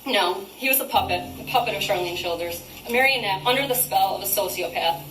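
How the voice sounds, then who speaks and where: A woman's voice: medium pitch, a little fast, music in the background. A woman reading a prepared victim impact statement in a courtroom, formal and accusatory. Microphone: distant microphone. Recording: roomy, noisy, distant courtroom audio.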